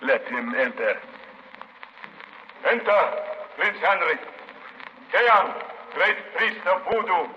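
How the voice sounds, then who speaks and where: Imperious high priest, cavernous reverb. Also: male voice, sing-song, slow pace, long pauses, heavily acted. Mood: imperious, scornful. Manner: declamatory, commanding, scornful. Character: imperious, scornful male voodoo high priest. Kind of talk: 1930s radio melodrama acting. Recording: muffled, noisy 1930s radio, long reverb.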